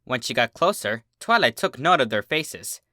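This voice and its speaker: A man giving an expressive scripted narration of a story, as the host of a readings channel. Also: mid-range voice, normal speed, no room sound, clean studio sound.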